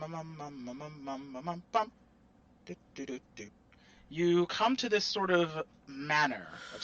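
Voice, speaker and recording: A man narrating as dungeon master in a tabletop game, talking haltingly with many fillers and restarts. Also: a little high, lo-fi sound.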